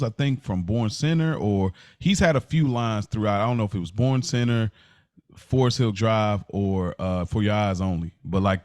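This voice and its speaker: An American man co-hosting a casual podcast discussion, thinking aloud in a halting way and circling back to rephrase. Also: fairly low pitch, a little mellow, no echo. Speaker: podcast co-host.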